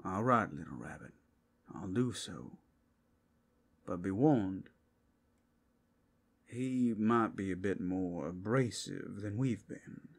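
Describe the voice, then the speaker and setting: A man plays a cautious brothel keeper in a fantasy audio drama. His voice is low and gravelly, and he explains himself in a calm, hushed, unhurried way. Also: heavily acted. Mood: cautioning. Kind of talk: ASMR fantasy roleplay.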